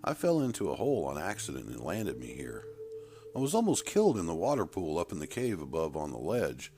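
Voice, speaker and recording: A man acts out a worried schoolboy in a storybook tale, polite and earnest toward his elders. The pitch swings widely, and the recording has clear noise behind it. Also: wildly swooping pitch, a little fast. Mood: polite, weary. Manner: deferential, earnest. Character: anxious, polite schoolboy lost underground.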